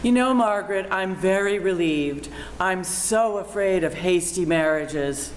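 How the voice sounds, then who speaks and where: A woman's voice: lowish voice, unhurried, slight background noise, lightly acted. An older amateur actress playing a haughty, easily affronted mother in an old-time radio comedy. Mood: relieved. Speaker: amateur actor in a senior theater class.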